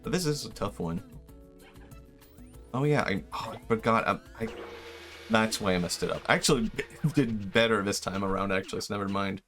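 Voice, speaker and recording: A man's voice: fairly low pitch, normal speed, pauses often, fairly bright tone, soft background music. A young man from Sweden, a native Swedish speaker, chatting casually and rambling with self-deprecating laughs while streaming a game. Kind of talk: casual live-stream chat while gaming.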